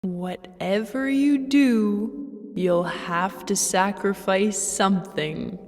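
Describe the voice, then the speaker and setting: A solemn figure who cautions others. She speaks very slowly in a reverberant hall with music underneath, in the style of a dark game drama. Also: medium pitch. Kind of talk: dramatic video game voice acting.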